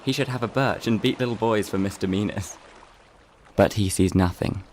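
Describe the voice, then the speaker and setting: Male actor as scornful scholar in a literary audio play, precise and lofty, pitched fairly low. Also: normal speed, darkish tone, slight background noise, lightly acted. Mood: wistful, scornful. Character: scholarly, scornful man devoted to Latin and order. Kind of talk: literary radio drama acting.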